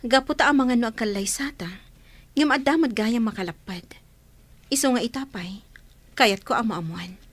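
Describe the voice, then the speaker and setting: A woman acting an earnest young bride-to-be with an Ilocano accent, speaking deliberately and questioningly in a radio drama. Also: a little high, no room sound, natural voice.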